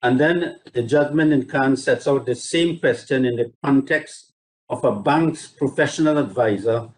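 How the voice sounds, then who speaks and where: A man speaking as a barrister in a formal, measured and courteous legal submission, reading carefully from judgments over a video link. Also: medium pitch, darkish tone, low-quality recording. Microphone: video call.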